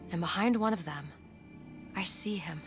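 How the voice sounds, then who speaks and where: A woman's voice: on the low side, normal speed, over music, close to an everyday voice. An actress playing a resolute, earnest young woman with mysterious insight in a video game, speaking directly and insistently. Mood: grave, insistent. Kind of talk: video game character acting.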